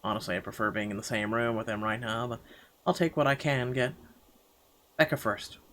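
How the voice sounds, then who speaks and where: A man reading a visual novel aloud as first-person dramatic narration, halting now and then over the words. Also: medium pitch, steady tone, a bit bright. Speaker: game player reading the text aloud.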